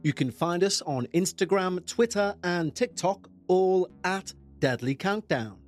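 A man with a Liverpool accent hosting a podcast, reading true-crime narration in a measured, dramatic way. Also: high voice, expressive. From England. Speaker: podcast host.

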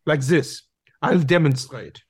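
Bossy canine drama teacher played by a man, fairly high male voice, slow delivery, mocking and brusque. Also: noisy background. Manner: brusque, commanding, peppered with German words. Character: domineering, mocking male dog masterclass teacher. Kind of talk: audio play acting.

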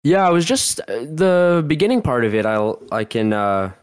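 A man's voice: a little high, moderate pace, smooth, no room sound, studio quality. A man in his twenties, a guest telling a personal story in a casual interview, rambling with lots of restarts. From Canada. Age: 20s. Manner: rambling, heavy use of "like", frequent restarts.

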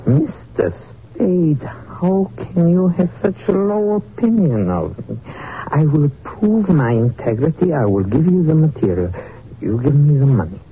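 A man's voice: a little high, very animated, unhurried. An actor playing a small, dapper Levantine blackmailer in a 1940s radio drama, unctuous and formal, his politeness carrying a veiled threat. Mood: sly, courteous. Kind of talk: 1940s radio villain acting. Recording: tinny, noisy old radio recording.

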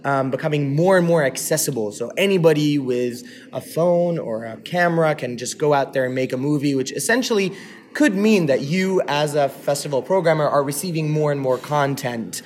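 A man speaks as a casual interviewer. He phrases questions haltingly, often doubling back on his words. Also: fairly high pitch, darkish tone, slight background noise. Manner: halting, repeats words while forming questions. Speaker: interviewer, host.

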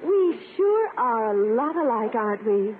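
Coy, coaxing American romantic, fairly high voice, husband-hunting, 1950s radio comedy, tinny old broadcast sound. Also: female voice, big pitch swings, unhurried. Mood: dreamy, gushing. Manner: gushing, coy. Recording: thin, faintly noisy 1950s radio recording.

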